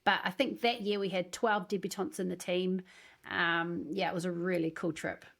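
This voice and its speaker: A woman from New Zealand, a former rugby player co-hosting a podcast, telling stories in a relaxed, easygoing way. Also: lowish voice, normal speed. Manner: relaxed, easygoing storytelling with fillers. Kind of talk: casual podcast chat.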